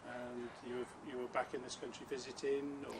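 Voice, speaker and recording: A man, a cameraman interviewing, asking questions haltingly with fillers. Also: medium pitch, flat delivery, heavy background noise.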